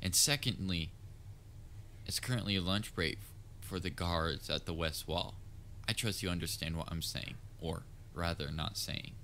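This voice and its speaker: Over a clearly noisy ambient background comes a man acting as a theatrical male supervillain with a fairly low voice. He is soft-hearted and anxiously attached to his nemesis, speaking straight to the listener in an earnest, halting way with touches of wry banter, as in an audio roleplay. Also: heavily acted. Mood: sly, playful.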